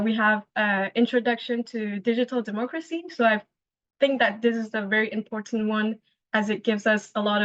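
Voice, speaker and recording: A woman's voice: medium pitch, no echo. A young woman, a master's student, describing her studies in a hesitant casual way with many fillers. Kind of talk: casual webinar talk. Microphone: laptop mic on video call. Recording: dull lo-fi call audio.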